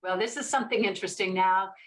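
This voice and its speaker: A woman's voice: medium pitch, steady tone, fast talker, a bit bright. Conversational woman podcast host. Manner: conversational, affirming with frequent yeahs. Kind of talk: casual interview.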